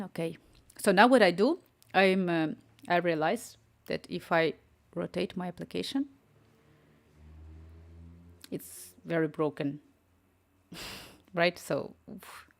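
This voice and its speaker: A woman's voice: on the low side, slow pace, creaky, long pauses. A young woman with a Ukrainian accent, chatting casually to her viewers as she builds an app on a live stream, thinking aloud and answering chat. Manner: chatty, thinks aloud, reads chat messages and dictates prompts. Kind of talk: casual live-stream commentary while coding.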